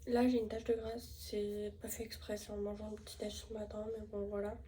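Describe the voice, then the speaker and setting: A woman's voice: mid-range voice, little pitch movement, a little fast, mellow. A young woman with a French accent chatting casually in a travel vlog, rambling with fillers and stuffed up with a cold. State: has a head cold. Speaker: vlogger. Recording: noisy ambience, distant from mic.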